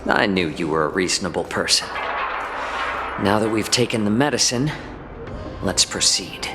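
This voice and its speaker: An actor playing a courteous, composed fox-folk healer in a game cutscene, speaking in a polite, measured, formal way. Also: male voice, on the low side, expressive, close to an everyday voice. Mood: firm, explaining. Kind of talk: scripted game cutscene acting. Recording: background music under game dialogue.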